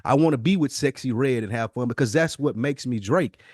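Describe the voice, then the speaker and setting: A man's voice: medium pitch, a little fast, a little mellow, no room sound, clean studio sound. A man talking casually and giving his opinions as a panelist on a podcast. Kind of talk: casual chat, opinionated discussion.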